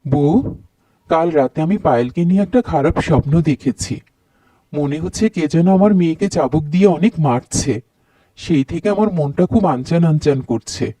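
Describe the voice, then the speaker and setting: A man plays a middle-aged father in a cartoon. His voice is dark and soft-edged, recorded very dry. He speaks with earnest, caring unease. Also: a little high, normal speed, dry recording, close to an everyday voice, poor audio. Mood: worried. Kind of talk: Bengali cartoon voice acting.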